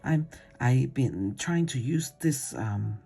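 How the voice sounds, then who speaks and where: Art teacher rambling thru a paint-along, mellow husky voice, lots of ums. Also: medium pitch, animated, slow, soft background music. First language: Cantonese. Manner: rambling and chatty, with many hesitations and fillers; simple, non-native grammar. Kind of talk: casual painting tutorial talk.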